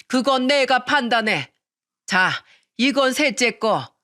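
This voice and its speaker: A woman's voice: on the high side, animated, slow, pushed and bright, pitched above the performer's own voice, exaggerated voice. Woman acting a stern, scolding old mother-in-law. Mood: firm. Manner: firm, reproachful, blunt.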